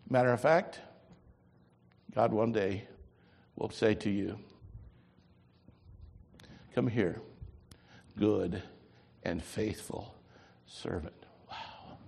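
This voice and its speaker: An older man preaches with a husky, somewhat high voice that rises and falls in wide, animated swings. His delivery moves between loud emphatic lines and quiet asides. The sanctuary rings with a long reverb. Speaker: pastor. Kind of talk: sermon.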